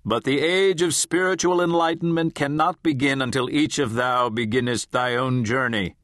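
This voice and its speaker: A man voicing a woman medium who channels a solemn ancient spirit, speaking in archaic, drawn-out pronouncements in an audiobook. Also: mid-range voice, steady tone, a bit bright, no echo, studio quality, pitched above the performer's own voice, close to an everyday voice. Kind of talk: audiobook character voice.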